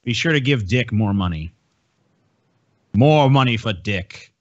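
A man's voice: lowish voice, expressive, measured pace, no room sound, highs cut off. A man hosting a podcast, chatting casually with crude, heavily profane language and reading out viewer messages. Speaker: podcast host.

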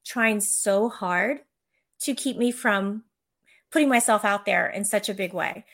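A woman's voice: medium pitch, measured pace, a bit bright. A woman author and coach talking in a casual conversation, explaining her ideas earnestly with occasional restarts.

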